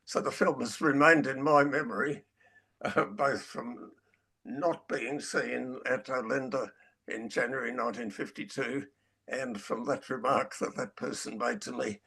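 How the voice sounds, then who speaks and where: An older man with a husky voice reminiscing in a halting way, repeating words as he recollects. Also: mid-range voice, steady tone, bright, forceful tone. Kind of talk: reminiscing in a webinar discussion.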